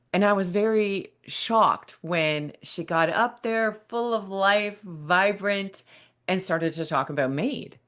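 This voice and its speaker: A woman's voice: fairly low pitch, fairly bright tone. A woman in her fifties speaking earnestly and emphatically to listeners as the host of a solo podcast. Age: 50s. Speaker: podcast host and bereavement specialist.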